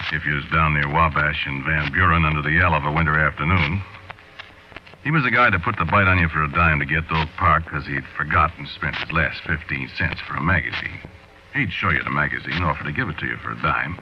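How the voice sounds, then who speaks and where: A muffled old radio recording carries a very deep-voiced American small-time crook. He is weary and fatalistic, confiding in slangy, halting talk, in the manner of a 1940s radio drama. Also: a little hiss or hum. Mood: brooding, confiding.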